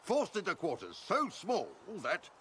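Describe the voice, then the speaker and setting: An actor playing an aggrieved, complaining male mage in a video game, forceful in his delivery. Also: high-pitched, huge pitch swings, measured pace, a little hiss or hum, heavily acted. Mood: indignant. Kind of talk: video game character dialogue.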